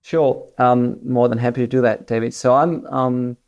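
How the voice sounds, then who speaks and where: A man's voice: medium pitch, steady tone, moderate pace, darkish tone, lo-fi sound. A man from Germany whose English carries an Australian accent, speaking as a company director in a business interview, hesitant and full of fillers and restarts. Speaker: managing director, interview guest.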